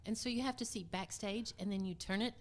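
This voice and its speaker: A woman's voice: mid-range voice, fast talker. A woman speaking as a community theater guest in a casual interview, informal and enthusiastic.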